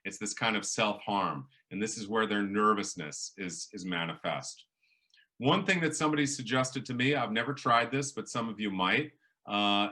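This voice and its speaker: A middle-aged man with a big, booming voice, leading a public speaking workshop and playing with his volume and pace to make his points. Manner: animated, varies volume and pace for emphasis. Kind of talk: lively workshop lecture.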